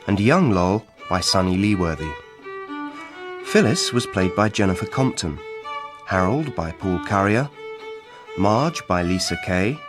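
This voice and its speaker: A man reading cast credits as a radio announcer in a formal, scripted style. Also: fairly low pitch. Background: string music, fiddle.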